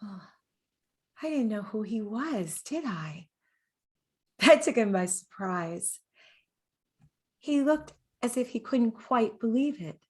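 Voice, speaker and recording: A tender, flirtatious ghost of a muse in her thirties. Her voice sits fairly high and she takes her time, confiding wistfully in a theatrical monologue. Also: lively intonation, slow, pauses often, a little mellow, breathy edge, full character voice. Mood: nostalgic, confiding. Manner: confiding, chattering, tender, nostalgic. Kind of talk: dramatic monologue, stage-style acting.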